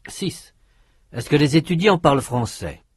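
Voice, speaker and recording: A man reading drill questions for a language course, in slow prompts with lively intonation. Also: fairly high pitch, slightly rough, no echo, clean studio sound.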